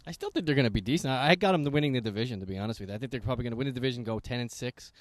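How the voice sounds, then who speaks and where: A man's voice: medium pitch, very fast, dry recording, clean studio sound. A man giving matter-of-fact sports predictions as co-host outdoors. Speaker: co-host, fantasy analyst. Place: outdoors in a city.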